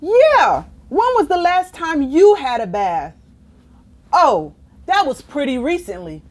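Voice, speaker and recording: A woman's voice: sits high, big pitch swings, slow, full character voice. A woman voicing a stubborn, dramatic, cheeky storybook pigeon with exaggerated, whiny delivery. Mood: indignant, evasive. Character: stubborn, dramatic, cheeky male pigeon who hates baths. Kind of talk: children's storybook character reading.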